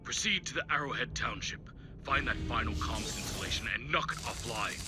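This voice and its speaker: An actor playing a stern senior military officer in a video game, issuing imperious, formal orders. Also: male voice, medium pitch, fairly quick, natural voice. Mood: commanding. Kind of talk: scripted video game dialogue. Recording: loud music and battle explosions behind.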